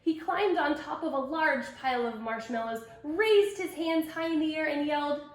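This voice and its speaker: High-voiced woman reading a children's storybook. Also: moderate pace. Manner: expressive, emphatic storytelling. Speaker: storybook reader. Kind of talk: children's picture book read-aloud with asides to young listeners. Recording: echoey room.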